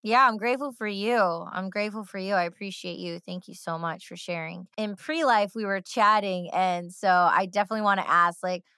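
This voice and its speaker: Bright, crisp-voiced enthusiastic woman host. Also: medium pitch, fairly quick, hardly any pauses, dry recording, studio quality. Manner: enthusiastic, emphatic, rambling. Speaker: podcast host. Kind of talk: casual interview chat.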